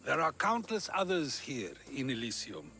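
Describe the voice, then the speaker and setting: An actor playing a thoughtful male philosopher in a video game cutscene, speaking in a measured way. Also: mid-range voice, wildly swooping pitch. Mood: calm. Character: older male philosopher, wise and questioning. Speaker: game character.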